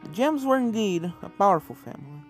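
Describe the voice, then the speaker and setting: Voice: medium pitch, sing-song, fairly quick. A storyteller reading scripted fiction aloud as a narrator, slipping into character voices for the dialogue. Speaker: fan fiction reader, narrator. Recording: game music under the voice. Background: video game soundtrack music.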